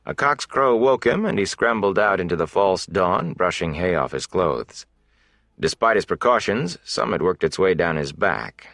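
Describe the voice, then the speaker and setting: A man's voice: low-pitched, lively intonation, moderate pace, gravelly, a bit bright, no room sound, studio quality. A man narrating a fantasy novel as a scripted audiobook reading.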